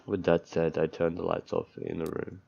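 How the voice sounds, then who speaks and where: A man with a deep, creaky voice reads visual novel text aloud as he plays. He breaks in with crude, sardonic, sweary asides. The mic is dull and lo-fi, with game music behind him. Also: sing-song, moderate pace, a little mellow. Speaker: streamer playing a visual novel. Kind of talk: let's play, reading game text aloud with commentary.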